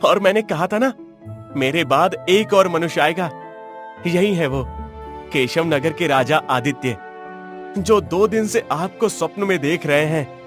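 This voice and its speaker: Man playing a high-voiced loyal royal messenger. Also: unhurried, long pauses, fairly bright tone, soft background music. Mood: respectful, assured. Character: loyal, reassuring male messenger to a king. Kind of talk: Hindi cartoon story voice acting.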